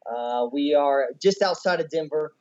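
A man's voice: on the high side, unhurried, darkish tone, dull audio. An American man in his thirties from the Midwest, chatting casually as a podcast guest. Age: 30s. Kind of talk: casual chat.

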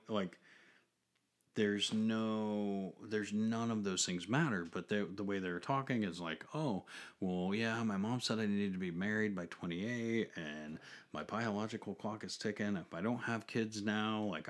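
A man's voice: fairly low pitch, a little slow. A man in his forties chatting casually as a co-host, rambling and filling his talk with "you know" and "like". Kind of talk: casual chat.

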